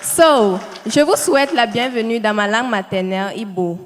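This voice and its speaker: A woman's voice: on the high side, normal speed, slight background noise. Confident young woman. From Nigeria. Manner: confident, declamatory. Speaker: student. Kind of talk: formal welcome speech. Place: hotel ceremony hall. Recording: echoey hall.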